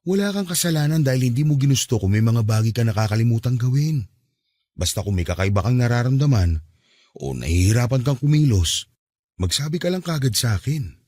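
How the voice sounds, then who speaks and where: A man's voice: medium pitch, sing-song, fairly quick, warm tone, dry recording. A man playing a patient, caring husband in a radio drama, speaking earnestly and coaxingly. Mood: tender, reassuring. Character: patient, caring husband and father, reassuring his forgetful wife. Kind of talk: Tagalog radio drama acting.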